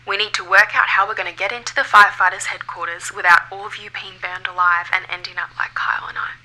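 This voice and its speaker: A woman playing a ghostly woman in an audio drama, relieved to be heard, brisk and blunt. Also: mid-range voice, fairly even intonation, pushed and bright, rough recording. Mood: firm, urgent. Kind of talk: audio fiction acting.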